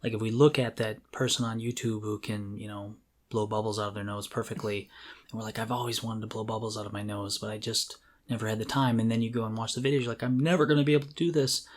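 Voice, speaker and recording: A man's voice: lowish voice, little pitch movement, quick pace. A man co-hosting a podcast in casual chat, speaking candidly with a jokey, self-deprecating manner. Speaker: podcast co-host.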